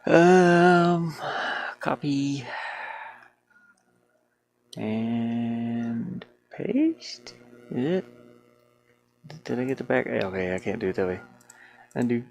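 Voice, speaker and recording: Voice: mid-range voice, lively intonation, extremely slow, vocal fry, pauses often, a little mellow. Game developer muttering casually while working. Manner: muttering to self, playful asides.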